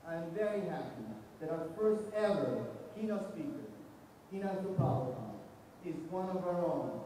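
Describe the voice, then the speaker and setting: A man's voice: on the high side, animated, normal speed, warm tone. A man from Italy with an Italian accent, a professor giving a formal ceremony introduction speech. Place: large hall. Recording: echoey hall recorded from far off, with noise.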